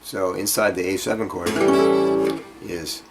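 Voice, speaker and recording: A man with a low-pitched voice teaches an informal music workshop. He wanders through his explanations, thinking out loud and poking fun at himself, with prominent guitar playing alongside his talk. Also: steady tone, measured pace, gravelly. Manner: rambling, self-deprecating, thinking aloud. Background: acoustic guitar being played.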